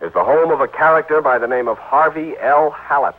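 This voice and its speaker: A man's voice: on the high side, brisk, phone-quality sound, natural voice. An American actor playing a chummy, grumbling, folksy married insurance man in a 1950s radio drama. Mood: teasing. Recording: old band-limited radio broadcast recording.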